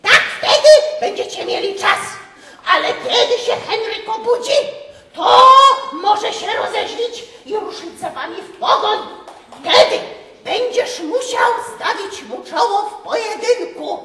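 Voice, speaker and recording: An actress playing a meddling, folksy wise woman in an improvised children's play, theatrical and drawn-out, questioning her audience. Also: extremely high pitch, animated, sharp tone, far from the mic, full character voice. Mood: bossy, advising. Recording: roomy hall with music behind.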